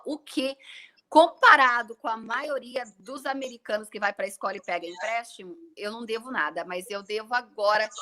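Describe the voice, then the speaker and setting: A woman's voice: fairly high pitch, sharp tone, low-quality recording. A Brazilian woman in her thirties, a nurse, explaining her studies in a casual chat, often stopping to restart her sentences. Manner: hesitant, many restarts while explaining. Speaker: interview guest, nurse. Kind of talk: casual live-stream chat.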